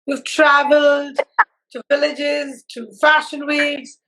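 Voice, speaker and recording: High-voiced effusive woman host. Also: level tone, slow, pushed and bright, rough recording. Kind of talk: conversational interview over live video.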